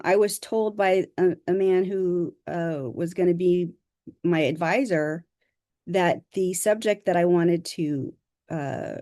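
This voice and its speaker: A woman from Southern California, an author introducing herself on a video call, speaking modestly and haltingly with fillers. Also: fairly low pitch, dark tone, dry recording, clean studio sound. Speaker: author presenting a workshop.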